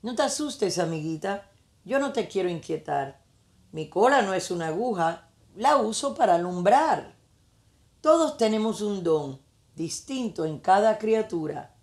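A woman's voice: mid-range voice, animated, measured pace, darkish tone, reverberant. A woman voicing a wise, reassuring little firefly in a storybook.

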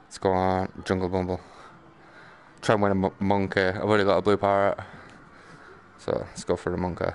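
A man's voice: low voice, completely flat delivery, brisk, pauses often. A man giving casual live game commentary, slangy and sweary, muttering to himself and breaking into exclamations. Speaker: game streamer.

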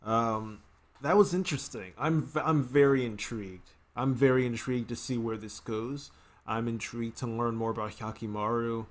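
A man's voice: mid-range voice, fairly even intonation, normal speed. A man in his twenties giving casual reaction commentary as a video host, rambling and often circling back to rephrase. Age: 20s. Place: small indoor room at home.

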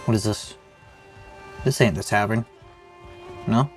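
A man's voice: lowish voice, slow, music in the background. A young man with a sick-sounding voice giving rambling, joking let's-play commentary. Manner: rambling, joking, self-deprecating. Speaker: gaming channel host.

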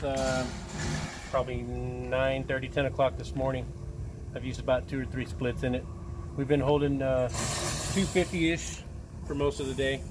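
Middle-aged man, noisy outdoor recording. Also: medium pitch. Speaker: custom cooker builder presenting his build. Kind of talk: casual product walkthrough. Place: outdoors in a gravel yard. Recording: clear outdoor background noise, vehicle ambience.

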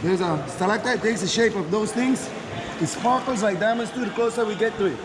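A playful man working as a cave tour guide, teasing guests in repetitive, joking patter. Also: crowd noise. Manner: playful, teasing, repeats himself, often addresses people as Mama. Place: inside a dark river cave on the water. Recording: noisy echoing cave, rushing water rumble.

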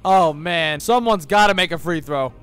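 A young man with a hoarse, raspy voice hosting a gaming channel, giving excitable play-by-play commentary at a studio mic and shouting at the big plays. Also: a little high, normal speed, soft background music. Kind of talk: energetic play-by-play game commentary. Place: home streaming room with green screen. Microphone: large studio mic on boom, headphones.

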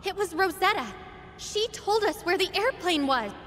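A bold young woman in a game cutscene. Her voice is very high and moves about a lot, blunt and earnest. It rings with long reverb over faint music. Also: animated, normal speed, a bit bright, slight background noise. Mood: exasperated. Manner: forthright, urgent, earnest. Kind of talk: video game voice acting.

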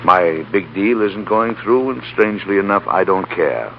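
A man's voice: low voice, expressive, moderate pace, gravelly. An actor playing a humbled but determined middle-aged businessman in a 1930s radio play. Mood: humbled, resolute. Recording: muffled, noisy 1930s radio broadcast.

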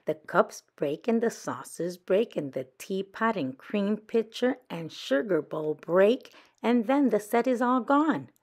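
A woman's voice: mid-range voice, lively intonation, measured pace, hardly any pauses, darkish tone, voice pushed up. Woman reading as sly, bossy young girl badger. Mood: smugly sure. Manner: persuasive, coyly evasive. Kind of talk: children's picture book read-aloud.

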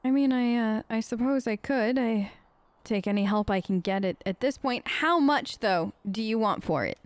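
A woman's voice: on the high side, normal speed, no echo. A woman doing casual, improvised role-play commentary as a let's play host, conversational, hesitant and often questioning.

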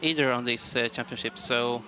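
A man's voice: medium pitch, steady tone, moderate pace, pushed and bright. A man commentating live on a ski race in English, hesitant and full of fillers. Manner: hesitant, many fillers, non-native English.

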